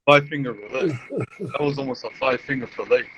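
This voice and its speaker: A man's voice: medium pitch, a little fast, fairly bright tone, a little hiss or hum, close to an everyday voice, rough recording. A man acting a proud, direct Western gunslinger. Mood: cool.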